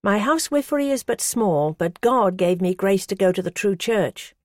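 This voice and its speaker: A woman's voice: expressive, normal speed, dry recording, clean studio sound, lightly acted. A woman voicing a defiant, pious woman who scolds her accusers with rising passion. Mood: earnest. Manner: impassioned, scolding, rising pitch.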